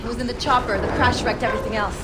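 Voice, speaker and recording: A woman performs a young American daughter in an action game. Her fairly high voice is earnest, emotional and determined. Heavy aircraft noise and ambience sit behind it. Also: fairly even intonation, rapid-fire, lightly acted. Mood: soft, reassuring. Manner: emotional, insistent. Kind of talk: cinematic action game acting. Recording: loud helicopter and ambient noise.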